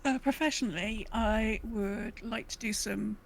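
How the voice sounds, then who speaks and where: A woman's voice: fairly high pitch, unhurried, creaky. Woman chatting over slight background noise. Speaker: podcast co-host. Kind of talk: casual chat.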